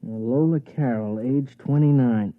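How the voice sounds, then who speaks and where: A man playing an excitable, doubtful American sidekick in a swindle, slangy and questioning, in a 1950s radio drama. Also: mid-range voice, close to an everyday voice. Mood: skeptical. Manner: slangy, questioning, quick to react. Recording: thin, muffled 1950s radio recording.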